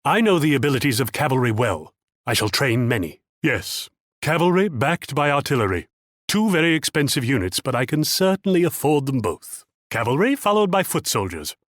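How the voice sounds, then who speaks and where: An actor playing a haughty, shrewd Dutch military commander in a strategy game, pompous, theatrical and patronizing. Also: male voice, mid-range voice, very animated, moderate pace, a bit bright, dry recording, studio quality. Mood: confident command. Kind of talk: scripted strategy game character lines.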